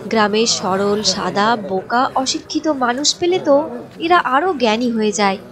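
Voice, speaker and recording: A woman's voice: on the high side, animated, unhurried, crowd noise. A woman reading scripted narration as the storyteller of a story. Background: music.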